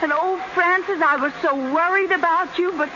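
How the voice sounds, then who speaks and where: An actress playing a devoted young sweetheart in a 1930s radio romance, gushing with anxious tenderness. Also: female voice, very high-pitched, normal speed. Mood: tender, relieved. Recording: noisy, muffled 1930s radio broadcast.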